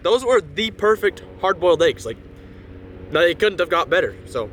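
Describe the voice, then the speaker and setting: A young man with a cold-raspy voice chatting excitedly to camera while car camping by a lake, casual and full of "dude" and "like". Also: high voice, moderate pace, a little hiss or hum. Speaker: vlogger. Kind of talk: casual vlog chat to camera.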